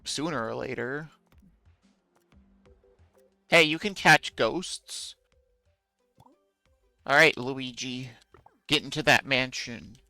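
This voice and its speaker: A man's voice: medium pitch, takes it slowly, long pauses, sharp tone, no echo, soft background music. A man streaming a game, chatting casually with viewers in a musing, self-deprecating way.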